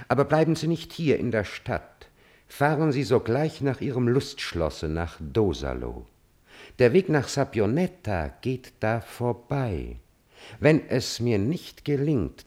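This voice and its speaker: An actor playing an obsequious, coolly calculating courtier in a classical German radio drama, speaking in a measured, insinuating manner. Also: male voice, medium pitch, a little mellow.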